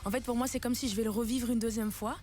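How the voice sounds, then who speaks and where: A woman's voice: on the high side, fairly even intonation, fast, a little mellow. A young woman guest on a radio show, talking casually with many fillers and frequent laughter. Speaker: guest singer and TV talent-show coach. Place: radio studio.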